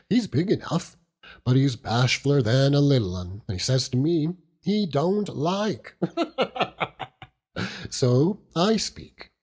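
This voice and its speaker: Hearty old country seaman, chuckling, overjoyed, lively pitch, unhurried audiobook character. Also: husky, exaggerated voice. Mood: fond, earnest. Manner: chuckling, rambling, dialect-heavy storytelling with exclamations. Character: hearty old working-class seaman uncle, doting and overjoyed.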